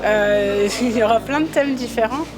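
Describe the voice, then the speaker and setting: Hesitant woman over loud music. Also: fairly high pitch, normal speed, rough recording. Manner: hesitant, with filler sounds. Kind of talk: interview answer.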